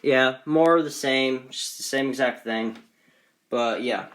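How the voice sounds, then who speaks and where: A man's voice: mid-range voice, measured pace, a bit bright, slight background noise. A man casually presenting a collection in an off-the-cuff, rambling, matter-of-fact way, recorded on a handheld phone. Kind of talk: casual off-the-cuff show-and-tell.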